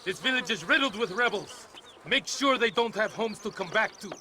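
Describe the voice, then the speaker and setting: Sneering, very high-pitched Mexican army officer. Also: male voice, expressive, moderate pace, pushed and bright, slight background noise, full character voice. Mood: callous command. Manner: mocking, barking orders. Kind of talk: video game cutscene acting.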